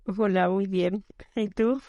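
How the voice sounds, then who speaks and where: A woman's voice: medium pitch, steady tone, slow, dark tone, dry recording, highs cut off. A woman talking as a guest on a casual podcast, easygoing and searching for her words as she goes. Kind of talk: casual conversational interview.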